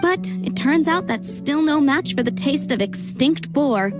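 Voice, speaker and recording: A woman's voice: high-pitched, lively intonation, a little slow, music in the background. A woman voicing a proud but gracious village chef in a video game, speaking frankly and emphatically. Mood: disappointed.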